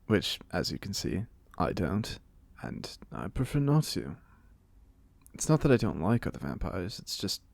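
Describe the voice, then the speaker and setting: A man's voice: sits low, very animated, measured pace, gravelly, a little mellow, no room sound, exaggerated voice. A man playing a genial old vampire in an audio roleplay, speaking with a British accent in polite, formal, wryly chatty phrases. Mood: apologetic, reflective. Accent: British, put on by an American. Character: genial, chatty, courteous centuries-old male vampire being interviewed. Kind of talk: ASMR audio roleplay monologue.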